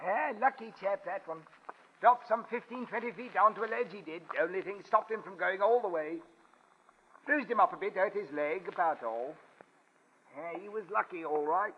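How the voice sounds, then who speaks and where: Chatty village shopkeeper, highish voice. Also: male voice, moderate pace, breathy edge. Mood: gossipy, cautioning. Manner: clipped, gossipy phrases. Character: chatty, folksy village tobacconist. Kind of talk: 1940s radio acting. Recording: muffled old radio recording.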